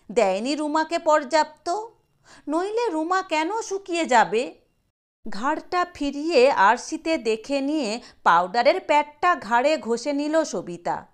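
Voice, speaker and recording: A woman reading a short story aloud in an expressive storytelling manner. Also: high voice. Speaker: audio story narrator. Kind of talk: scripted dramatic narration of a Bengali short story.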